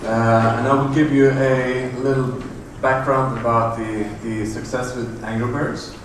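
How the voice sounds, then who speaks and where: A middle-aged man giving a conference talk in a large hotel room, halting with many fillers and restarts. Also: mid-range voice, flat delivery. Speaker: conference presenter. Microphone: room audio from a far camera. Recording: echoey, distant room recording with noise.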